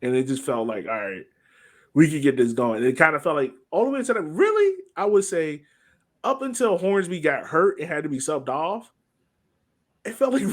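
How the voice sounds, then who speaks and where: An American man co-hosting a sports podcast, talking casually and conversationally with informal, slangy phrasing. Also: on the high side. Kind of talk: casual soccer match breakdown chat.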